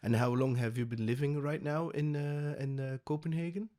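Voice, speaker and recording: Halting male podcast host. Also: fairly high pitch, fairly even intonation, dark tone. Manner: halting, many fillers and restarts, friendly. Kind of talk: casual interview talk.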